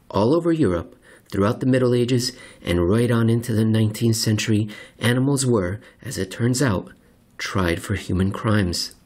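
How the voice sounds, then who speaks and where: Man, husky mid-pitched voice, scripted narration, deliberate delivery, theatrical emphasis. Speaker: podcast host reading aloud. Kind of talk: scripted narration, dramatic essay reading.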